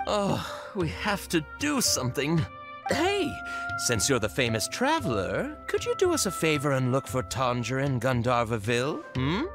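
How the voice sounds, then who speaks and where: A man's voice: mid-range voice, huge pitch swings, normal speed. A man voicing an anxious middle-aged villager in a game, asking for help in a hesitant, pleading manner. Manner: hesitant, pleading, many ums. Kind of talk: game cutscene dialogue. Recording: game soundtrack music behind.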